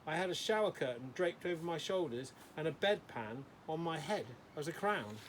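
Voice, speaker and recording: A man's voice: on the high side, expressive, moderate pace, slight background noise, natural voice. A man voicing a posh, sarcastic young boy in a children's storybook, with a dry manner. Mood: dry sarcasm. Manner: dry, sardonic.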